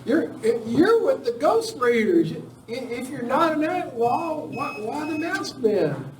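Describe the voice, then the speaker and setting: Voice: high-pitched, sing-song, slow pace, full character voice. An amateur performer playing a nervous, stammering cowboy ranch hand in a live radio western. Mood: nervous, flustered. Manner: stammering, flustered. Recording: echoey room, distant, faint noise.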